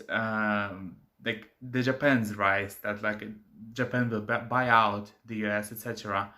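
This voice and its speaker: Young male channel host, English a second language, halting delivery with many fillers, mid-range voice. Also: mid-range voice, sharp tone. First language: not English. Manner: hesitant, many filler sounds. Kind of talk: casual commentary to camera.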